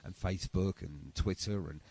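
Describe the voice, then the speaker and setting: A man's voice: low-pitched, normal speed, darkish tone, breathy edge, no echo. A man hosting a casual interview show, chatty and effusive with his guest. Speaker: podcast and radio show host.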